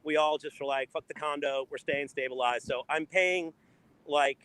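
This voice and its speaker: High, bright-voiced man rambling casually. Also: fairly even intonation, moderate pace, smooth, no room sound. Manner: rambling, thinking aloud. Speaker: live show host. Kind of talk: casual improvised chat.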